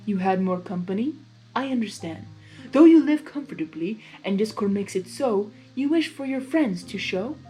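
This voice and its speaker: A wise female zebra, put on by a woman narrator, cryptic and calm. The lines come in measured, solemn rhyme at a middling pitch for a woman. Music plays faintly underneath. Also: close to an everyday voice, rough recording. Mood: reassuring.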